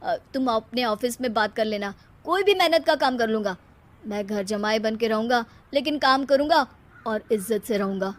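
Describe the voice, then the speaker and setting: Lady doing a lazy young cartoon husband, dramatic rhyming show-off, high voice. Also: animated, normal speed, natural voice. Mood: apologetic. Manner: declaims rhyming couplets dramatically. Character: idle, theatrical young husband who spouts comic rhymes.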